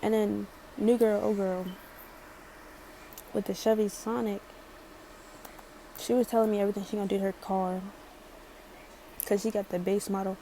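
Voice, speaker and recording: A woman's voice: mid-range voice, flat delivery, moderate pace, long pauses, dark tone, slight background noise, low-quality recording. A chatty young woman from Maryland with a light Baltimore accent, rambling sassily through a casual storytime. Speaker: vlogger.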